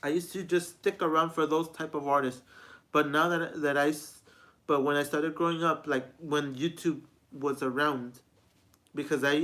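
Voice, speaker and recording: A man's voice: on the high side, fairly bright tone. A man in his twenties giving a rambling, repetitive personal vlog talk, often restarting his sentences as a music reviewer. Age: 20s.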